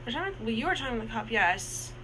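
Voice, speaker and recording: A man's voice: very high voice, very animated, racing, noisy background. A man in his twenties co-hosting a casual movie review, talking in a rambling way with many restarts and fillers. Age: 20s.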